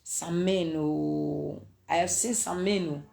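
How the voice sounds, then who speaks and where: A Zambian woman in her thirties speaks straight into a phone camera from a small indoor room. She talks in an animated, preachy way, breaking into laughter and slipping in pidgin phrases as she goes. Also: low-pitched, slow, gravelly. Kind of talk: casual preachy monologue to camera. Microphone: phone camera at arm's length.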